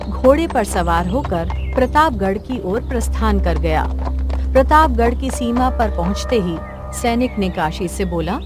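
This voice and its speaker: A woman's voice: medium pitch, animated, a little slow, music in the background. Woman narrating a storybook tale. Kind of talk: scripted cartoon story narration.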